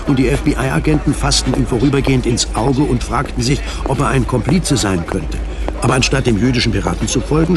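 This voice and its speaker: A man narrates a science fiction radio play in a mid-pitched voice. His delivery is scripted storytelling, with music clearly playing behind him. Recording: music under the voice.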